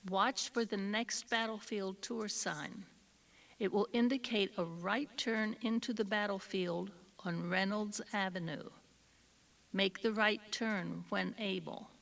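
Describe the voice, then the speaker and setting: A woman reading scripted instructions as an announcer, formal and measured. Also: mid-range voice, steady tone, creaky, fairly bright tone, far from the mic. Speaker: announcer giving directions.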